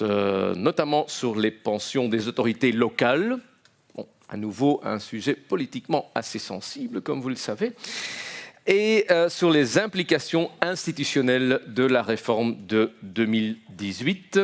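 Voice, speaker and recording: Un homme de langue maternelle néerlandaise anime une séance de conférence en français. Il présente l'orateur en hésitant souvent. Sa voix est de hauteur moyenne. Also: male voice, mid-range voice, animated. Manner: halting, with frequent fillers. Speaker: moderator. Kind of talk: introducing a speaker at a conference. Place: conference room.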